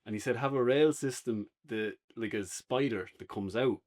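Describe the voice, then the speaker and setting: A man's voice: medium pitch, normal speed, darkish tone. An Irish man chatting casually and without a script as a podcast co-host giving a review. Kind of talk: casual unscripted banter.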